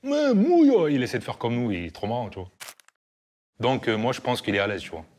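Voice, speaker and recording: A man's voice: medium pitch, very animated, brisk, a little mellow, soft background music. A man talking about an old friend in a casual interview, chatty and full of fillers. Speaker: childhood friend.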